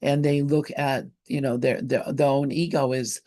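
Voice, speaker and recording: Chatty host of a group call, quick to laugh, dry sound. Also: medium pitch, little pitch movement, warm tone, no room sound. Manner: chatty, laughs often, stumbles over words. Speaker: host of a study gathering. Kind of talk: casual group discussion.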